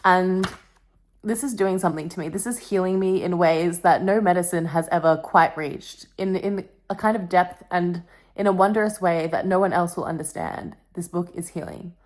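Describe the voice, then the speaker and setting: Young woman with a lowish voice, melodramatic delivery. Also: moderate pace, a little mellow. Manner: melodramatic, wry, self-mocking, swears freely. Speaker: vlogger. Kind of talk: casual confessional vlog with dramatic book reading.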